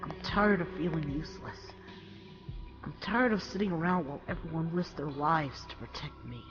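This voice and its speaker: A performer playing an earnest, hesitant young male soldier in an audio drama, speaking haltingly. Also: medium pitch, dull audio, over music, close to an everyday voice. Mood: frustrated, determined. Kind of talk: fan audio drama acting.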